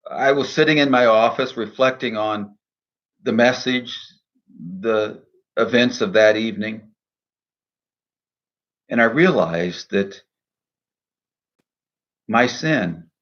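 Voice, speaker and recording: A man speaking in a measured, earnest, deliberate way, in the style of a devotional poetry reading and reflection. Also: fairly low pitch, a bit bright, muffled recording. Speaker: minister and poet reading own poem.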